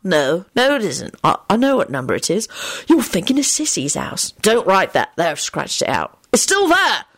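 Woman playing sweary mock-pompous wizard men, wildly varied pitch. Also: big pitch swings, moderate pace, breathy edge, full character voice. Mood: bickering. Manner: mock-solemn, bickering.

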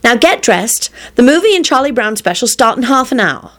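A woman's voice: a little high, very animated, moderate pace, fairly bright tone, voice pushed up. A woman voicing an exasperated, bossy young woman who scolds her twin brother in an emphatic, snapping way. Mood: bossy, irritated.

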